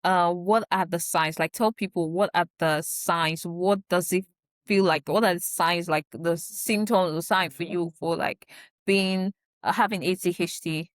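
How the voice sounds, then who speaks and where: Woman host, casual and chatty interviewer, lower pitch, dry recording. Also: fairly even intonation, a little slow, no echo. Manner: chatty, laughing, many likes. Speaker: podcast host.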